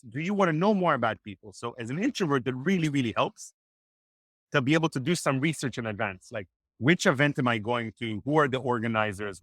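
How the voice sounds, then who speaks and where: A man whose first language is Hebrew, giving energetic, motivational networking advice as a podcast guest. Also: mid-range voice, dry recording. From Israel. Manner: energetic, motivational, acts out quoted dialogue. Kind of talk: animated podcast interview.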